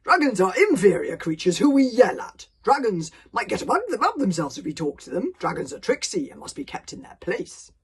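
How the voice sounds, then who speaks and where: A woman voicing a pompous Viking warrior in a storybook, a would-be hero who blusters loudly and strains for dignity. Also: on the high side, big pitch swings, brisk, hardly any pauses, husky, full character voice. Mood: pompous, indignant.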